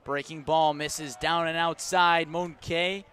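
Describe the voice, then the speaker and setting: High-pitched man calling baseball. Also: slow, smooth. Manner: clipped, descriptive phrases, rising to excited calls on action. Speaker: play-by-play sports announcer. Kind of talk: live baseball radio play-by-play commentary. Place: outdoors at a ballpark.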